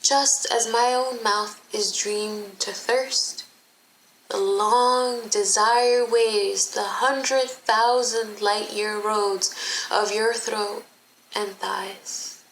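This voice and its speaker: Woman, dramatic poetry reading, extremely slow pace, deliberate pauses, slight noise behind. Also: mid-range voice, steady tone, fairly bright tone, poor audio. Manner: deliberate, measured phrasing with pauses. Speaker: performer reading a poem. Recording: faint background noise.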